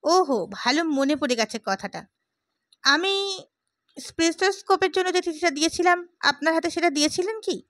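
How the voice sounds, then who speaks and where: Woman voicing an idealistic, high-voiced, oratorical gentleman. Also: lively intonation, normal speed, pauses often, no room sound. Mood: brisk. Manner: oratorical, emphatic. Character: idealistic, opinionated gentleman, fervent and talkative. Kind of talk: dramatised audiobook character.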